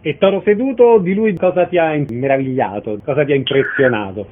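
A man with a fairly high voice asks questions as a radio interviewer. The sound is thin and phone-like, with a faint layer of noise behind him. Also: brisk.

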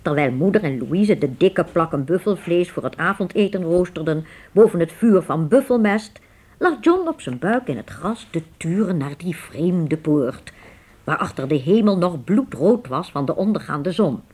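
A woman narrates a radio drama with lively, expressive swings. Her voice is on the low side and husky, over faint music.

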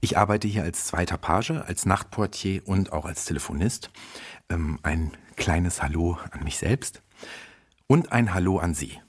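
A man's voice: low voice, unhurried, slightly rough. A man playing a courteous hotel page who formally narrates a radio play as a guide for guests. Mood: polite welcome.

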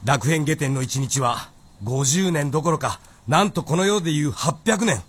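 A man's voice: fairly high pitch, normal speed, breathy edge. A man playing a learned, deferential samurai vassal with formal, careful, pedantic speech in a period radio drama.

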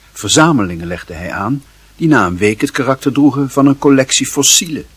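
A man with a husky voice reading scripted literary narration for a radio play. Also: lowish voice, fairly bright tone.

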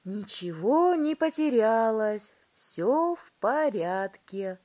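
Eccentric storybook inventor, a man, voiced by a female reader: emphatic, theatrical, raised pitch, slow stretched words. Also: animated, mellow. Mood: commanding. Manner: emphatic, drawn-out exclamations.

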